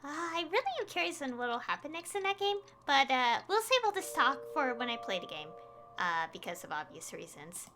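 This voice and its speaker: Chatty girl streamer w a really high bright voice, rambles and giggles, casual gaming chat. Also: big pitch swings, fairly quick, soft background music. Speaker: game streamer. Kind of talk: casual livestream chat over gameplay, reading game dialogue aloud.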